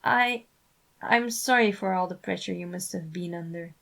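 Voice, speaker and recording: A woman voicing a supportive, sincere man in a fan fiction reading, speaking earnestly and gently. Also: lowish voice, moderate pace, creaky. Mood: apologetic, earnest. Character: supportive, sincere man reassuring his friends.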